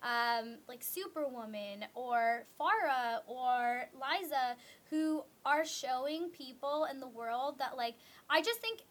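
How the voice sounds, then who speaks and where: A woman's voice: high voice, expressive, slow pace, few pauses. A young woman from Canada co-hosting a casual chat show, talking freely with many fillers and restarts. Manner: chatty, lots of "like" and restarts. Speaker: co-host.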